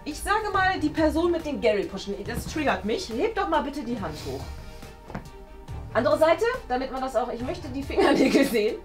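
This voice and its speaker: A young woman chatting casually and playfully in a studio room, thinking aloud and excitable as she plays a guessing game. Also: high voice, moderate pace. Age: young adult. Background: music.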